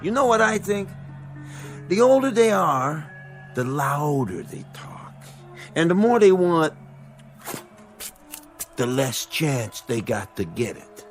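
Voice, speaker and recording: A man's voice: on the high side, sing-song, takes it slowly, pauses often, soft background music. An actor playing a sleazy, mocking fight manager in a radio drama, needling as he talks. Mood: smug. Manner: sneering, needling.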